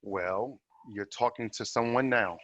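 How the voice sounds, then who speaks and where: A man reading the part of a proud, plain-spoken young man in a measured, direct way at a table read. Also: fairly low pitch, fairly bright tone. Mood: polite, guarded. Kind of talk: film script table read.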